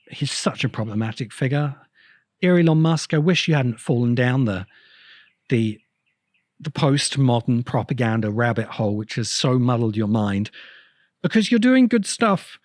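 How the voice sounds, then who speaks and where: A man delivering a reflective, semi-improvised spoken essay as a host, with deliberate, halting phrasing and emphatic repeats. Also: medium pitch, sing-song, darkish tone, no room sound, clean studio sound. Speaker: host of a science fiction video essay podcast.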